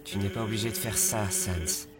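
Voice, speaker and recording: A man playing an earnest, protective, excitable skeleton hero in an animated fan dub. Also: on the low side, moderate pace, natural voice. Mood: concerned. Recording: loud music behind.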